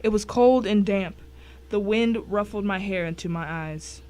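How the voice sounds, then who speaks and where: A woman's voice: mid-range voice, measured pace, a little mellow, natural voice. A woman playing a grim, wry thriller narrator who recounts a dangerous ordeal in scripted first person.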